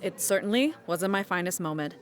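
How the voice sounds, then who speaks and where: A woman's voice: on the low side, lively intonation, fairly quick, a faint crowd, close to an everyday voice. A woman playing an awkwardly polite, chatty radio station promoter in a comedy audio drama. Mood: awkward, ingratiating.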